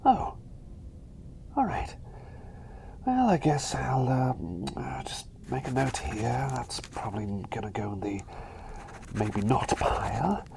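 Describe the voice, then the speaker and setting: A man plays an eccentric male plague doctor in an ASMR roleplay, murmuring and whispering with a crackly fry. His pitch swings wildly as he rambles, doubles back on phrases and hopes for approval. A faint noisy ambience sits behind the voice. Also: big pitch swings, slow, pauses often, exaggerated voice. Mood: mildly deflated. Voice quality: breathy whisper mixed with soft voice. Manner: hesitant, rambling, repeats phrases, eager for approval.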